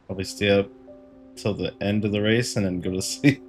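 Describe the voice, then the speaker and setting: A man's voice: on the low side, steady tone, a little fast. A man chatting casually and in a laid-back way as he streams a video game. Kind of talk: casual gaming livestream chat. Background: game music.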